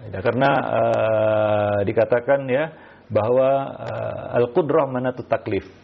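A man with a gravelly, creaky voice teaches religion in answer to questions. He speaks haltingly, often tagging his phrases and slipping into Arabic quotations. The sound is muffled and lo-fi. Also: mid-range voice. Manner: halting, repeats filler tags, quotes Arabic phrases. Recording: muffled, dull lo-fi audio.

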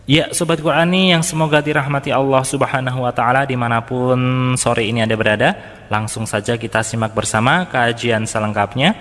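A man hosting a religious radio program with measured, formal announcements. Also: medium pitch, steady tone, a bit bright, in a large hall. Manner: measured, formal opening greetings.

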